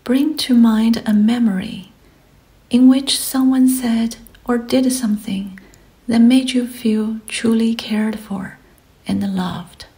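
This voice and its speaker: A woman leading a scripted guided meditation in a soft, breathy, often near-whispered voice, gentle and soothing, with pauses between short phrases. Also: fairly high pitch, steady tone, low-quality recording.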